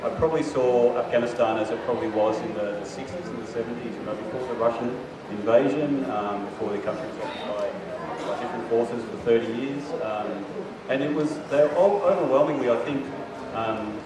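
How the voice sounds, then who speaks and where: A man speaking as an author on a festival panel, hesitant, with many restarts and fillers. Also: mid-range voice. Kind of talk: panel discussion. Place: large hall with a live audience. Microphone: handheld mic, heard from far off. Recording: echoey hall recorded from far off, crowd behind.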